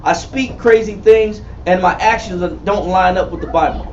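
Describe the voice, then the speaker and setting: A man in his forties teaching a Bible study, emphatic and repetitive, calling on readers and building to shouts. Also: high-pitched, normal speed, slight background noise. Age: 40s. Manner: emphatic, repetitive, calls for readings, rising to shouts. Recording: far-off echoey room, muffled and lo-fi.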